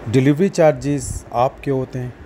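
A man with a Pakistani accent, a seller narrating a product demonstration in a matter-of-fact, repetitive sales pitch. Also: steady tone, normal speed, mellow, a little hiss or hum. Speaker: wholesale market seller and channel host.

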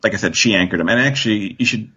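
A man talks casually as a guest in a fan discussion. His voice is fairly deep and rough, with slightly muffled sound. He circles back over his phrases as he thinks aloud. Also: rapid-fire, bright, forceful tone. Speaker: podcast guest, author.

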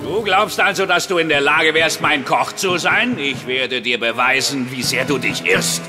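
A man's voice: on the high side, normal speed, lightly acted. A man playing a vain, scornful sea god in a German cartoon audio drama, theatrical and imperious. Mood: scornful, thundering. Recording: long hall reverb, music underneath.

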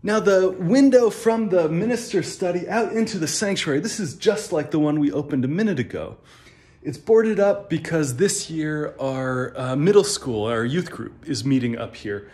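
A man's voice: a little high, moderate pace. A man in his thirties, a minister, chatting casually as he walks through an old church building, filmed on a phone held at arm's length. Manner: chatty, relaxed tour-guide talk while walking. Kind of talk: casual walking tour. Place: old stone church building, indoors and on a roof.

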